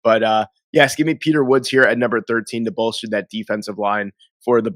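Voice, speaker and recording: A man's voice: lowish voice, steady tone, fairly quick, fairly bright tone, no room sound, clean studio sound. A man, nasal and sniffly from a cold, rambling through casual football draft commentary as the host. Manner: rambling, self-correcting, conversational.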